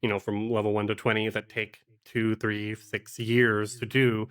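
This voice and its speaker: A man talking conversationally as a podcast guest and game master, explaining things in a halting, thoughtful way. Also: fairly low pitch, flat delivery, a bit bright, smooth. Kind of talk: conversational interview talk.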